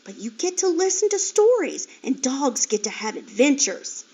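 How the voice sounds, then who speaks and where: A woman's voice: high voice, sing-song, moderate pace, background noise, pitched above the performer's own voice. A woman voicing a curious young female piglet in a storybook reading. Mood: questioning. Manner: eager, questioning. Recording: dull lo-fi recording.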